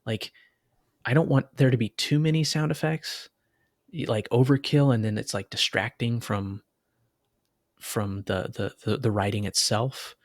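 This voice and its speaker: A man's voice: medium pitch, fairly even intonation, a little slow, dry recording, studio quality. A man co-hosting a podcast, talking in a casual, chatty way and often hesitating mid-thought as he works out what to say. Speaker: podcast co-host and reader.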